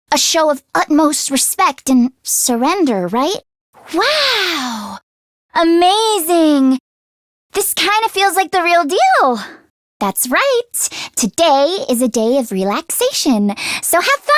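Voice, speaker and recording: A woman voicing an anxious, earnest teenage girl mechanic in a video game, with an expressive, hesitant delivery. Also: sits high, slow pace, no room sound, studio quality, exaggerated voice. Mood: cheerful, encouraging. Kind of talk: English video game voice acting, isolated voice lines.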